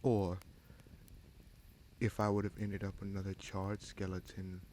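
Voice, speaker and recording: A man playing a bitter, scheming prince in a fantasy audio roleplay, speaking deliberately with sardonic menace and dramatic pauses. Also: low-pitched, completely flat delivery, warm tone, a little hiss or hum. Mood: cold, challenging. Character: bitter, scheming bastard prince commanding a captive dragon.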